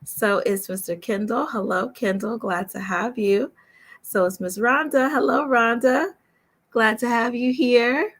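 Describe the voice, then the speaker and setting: Chatty woman hosting a casual livestream. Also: on the high side. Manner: welcoming, chatty, reassuring, repeats instructions. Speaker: voice actor and coach hosting a webinar. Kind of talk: casual livestream chat to viewers.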